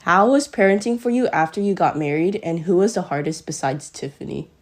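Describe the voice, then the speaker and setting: A woman's voice: fairly low pitch, brisk. Female interviewer; plain, straightforward question delivery. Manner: reads prepared questions plainly. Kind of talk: family interview.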